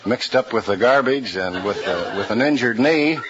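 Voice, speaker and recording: A man in his fifties, a quiz show contestant, telling a story in a halting, plain way with many pauses. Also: medium pitch, animated, highs cut off, noisy background. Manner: hesitant, plain-spoken, many uhs. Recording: old 1940s radio broadcast with studio audience.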